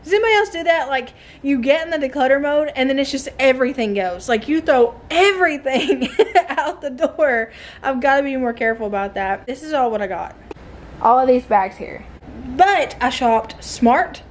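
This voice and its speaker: A woman's voice: high voice, moderate pace. A young woman with a Southern American accent, a homeschooling mom chatting casually and animatedly in her home vlog, filmed on a phone at arm's length. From southern United States. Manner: chatty, animated, laughs often. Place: car, then living room of a mobile home. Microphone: phone held at arm's length.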